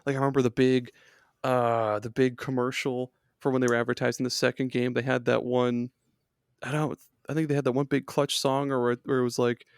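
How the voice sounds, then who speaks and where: A man with a gravelly, fry-tinged voice rambles through gaming memories on a podcast, peppering his talk with fillers and curse words. He sits close to the mic in a dry, dead room with no echo. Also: mid-range voice, little pitch movement, brisk. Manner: rambling, swears a lot, many fillers. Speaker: podcast guest. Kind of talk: casual gaming chat.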